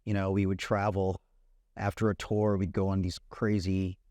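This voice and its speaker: A low-voiced man in his thirties chats as an interview guest, relaxed and easygoing. He often says "you know" and "man". The recording is dry and close, with no room sound. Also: moderate pace, no room sound. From Puerto Rican family, raised in California. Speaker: guest, celebrity content creator. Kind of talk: casual interview chat.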